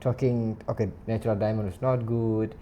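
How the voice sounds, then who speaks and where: A man from Indonesia interviews casually in a dark, relaxed voice. He is deferential and conversational, often repeating short words of agreement, over faint room noise. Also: lowish voice, normal speed. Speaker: podcast host, interviewer.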